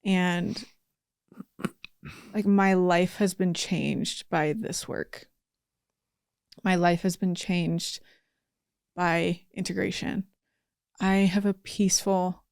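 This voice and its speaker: A woman speaking casually and earnestly as a podcast guest, pausing mid-thought in heartfelt talk. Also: on the low side, darkish tone, smooth, no room sound, studio quality.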